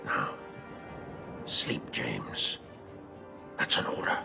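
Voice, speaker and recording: A man playing a seafarer who tells his past adventure in a measured, literary, expressive way. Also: over music. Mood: gentle. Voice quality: low, gravelly. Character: older seafaring man telling his past adventure to a boy, wry and fond. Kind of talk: dramatised storytelling narration.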